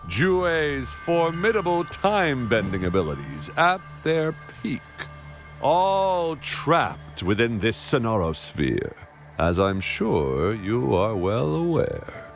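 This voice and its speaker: Taunting game villain, slow n theatrical, voice swings up and down, music behind. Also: male voice, medium pitch, sing-song. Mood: triumphant. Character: menacing, gloating male villain, theatrical and taunting. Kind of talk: scripted game voice acting.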